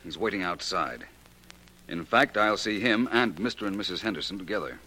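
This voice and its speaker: An actor playing a cool, courteous private detective with authority and a polished, measured way of questioning, in 1940s radio drama style. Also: male voice, sits low, expressive, sharp tone. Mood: probing, cool. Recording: noisy vintage 1940s radio recording.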